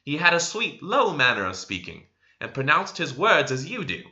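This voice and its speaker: A man's voice: fairly high pitch, huge pitch swings, moderate pace, pushed and bright. A man reading literary narration expressively, as an audiobook reader. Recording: slightly dull lo-fi recording.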